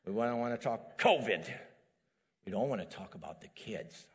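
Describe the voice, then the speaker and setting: A middle-aged man preaching a sermon as a pastor, animated and building to emphatic peaks. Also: fairly low pitch, normal speed. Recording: long hall reverb.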